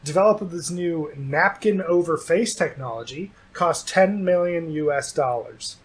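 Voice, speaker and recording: A man's voice: a little high, moderate pace, slightly rough. A man co-hosting a comedy news show, delivering jokes dryly and teasing his co-host. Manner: dry joking delivery, playful teasing. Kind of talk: scripted comedy news banter.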